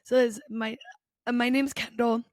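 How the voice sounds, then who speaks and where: A woman in her twenties, a podcast guest, hoarse with her voice almost gone, speaking casually and a bit haltingly. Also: a little high, flat delivery, darkish tone, no echo, clean studio sound. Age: 20s. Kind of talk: casual chat.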